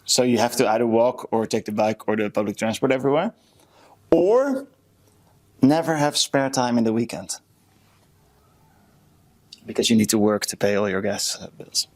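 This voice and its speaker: A man's voice: medium pitch, lively intonation, moderate pace, long pauses, a little mellow. A man from the Netherlands with a Dutch accent, chatting casually and self-deprecatingly as a podcast co-host. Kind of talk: casual chat.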